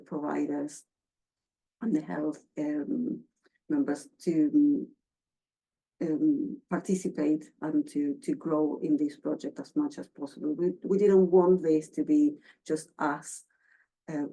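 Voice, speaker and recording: Argentine lady giving a research talk, low mellow voice, lots of ums and restarts. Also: rough recording. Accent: Argentine Spanish. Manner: halting, many fillers and restarts. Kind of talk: academic presentation.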